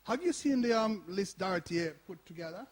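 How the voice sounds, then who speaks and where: A man's voice: high-pitched, lively intonation, fairly quick. An actor playing a frustrated, persistent older man at a community centre in a radio play. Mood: businesslike. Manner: sighing, challenging, insistent. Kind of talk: radio drama acting before an audience. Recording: reverberant hall recording.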